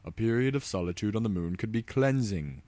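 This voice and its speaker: A man narrates a comic story in a fairly low voice. He is mock-dramatic and wry, slipping between reading and his own commentary. The recording is dry, with little room sound. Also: lively intonation, brisk, dark tone, dry recording.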